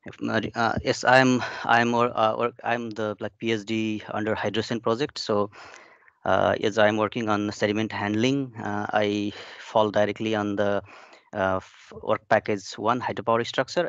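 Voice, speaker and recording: A man's voice: on the low side, a bit bright, no echo. A young man from Nepal giving a webinar talk on his research, hesitant with many fillers and restarts. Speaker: PhD researcher presenting. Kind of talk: webinar presentation. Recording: muffled dry video call audio.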